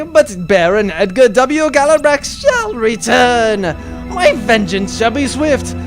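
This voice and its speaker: A man playing a vengeful space villain baron in a comic audio drama, declaiming in a grandiose, drawn-out, theatrical way. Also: very high voice, exaggerated voice.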